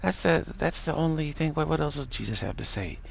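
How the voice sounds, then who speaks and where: A man with a deep voice giving a rambling spiritual monologue, often repeating words and chuckling. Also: animated, brisk, gravelly, husky.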